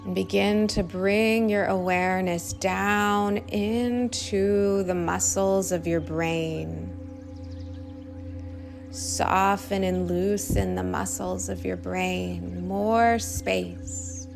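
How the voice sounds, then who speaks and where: Very slow-speaking woman guiding meditation. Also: mid-range voice, fairly even intonation, gravelly. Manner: soothing, unhurried, short phrases with long pauses. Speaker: meditation guide. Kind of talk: guided meditation. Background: singing bowl meditation music.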